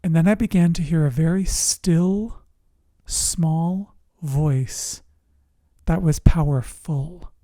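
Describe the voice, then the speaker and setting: A resilience coach telling personal stories in a motivational talk, speaking earnestly and deliberately in short phrases with pauses. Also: mid-range voice, mellow, no echo, studio quality. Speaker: host, emotional resilience coach.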